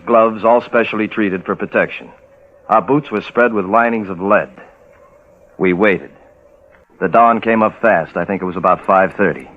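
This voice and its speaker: An actor playing a haunted American serviceman, narrating tersely and gravely in a 1950s radio drama. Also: deep voice, slight background noise, natural voice. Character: somber serviceman remembering a bomb test, haunted. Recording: tinny narrow-band old radio recording.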